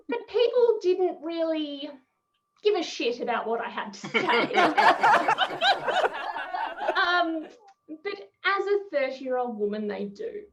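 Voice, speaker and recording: A thirty-year-old woman with an Australian accent performing wry, expressive stand-up over a headset on a video call, in muffled, noisy audio. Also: high-pitched. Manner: expressive, wry, chuckling between phrases. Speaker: stand-up comedian. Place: small room at home.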